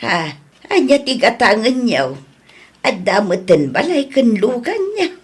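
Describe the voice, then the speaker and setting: A woman playing an elderly, plain-spoken and curious mother in an audio drama, with a husky voice and slow, questioning delivery. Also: fairly high pitch, very animated, exaggerated voice. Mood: resigned, amused.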